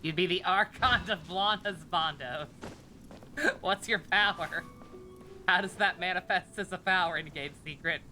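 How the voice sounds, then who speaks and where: Streamer girl w a low forward voice, rambly sweary jokey gameplay chat, faint game music. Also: a little slow, bright, forceful tone. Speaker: live streamer. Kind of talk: casual gameplay commentary.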